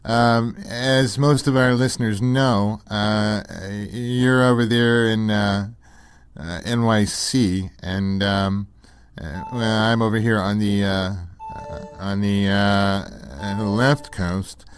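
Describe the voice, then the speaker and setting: A man playing a mild, pompously wordy pseudo-intellectual radio co-host in a comedy sketch, deadpan and very hesitant, stammering through his musings. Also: lowish voice, slow pace. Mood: ponderous, musing.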